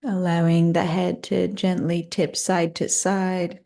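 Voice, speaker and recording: A woman leading a guided meditation in a soothing, unhurried and gently inviting manner. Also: fairly low pitch, flat delivery, vocal fry.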